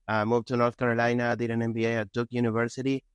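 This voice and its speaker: A man from Argentina with an Argentine accent and Spanish as first language, telling his story casually and haltingly as a guest. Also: medium pitch, flat delivery, clear, resonant tone, no room sound. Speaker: podcast guest, entrepreneur.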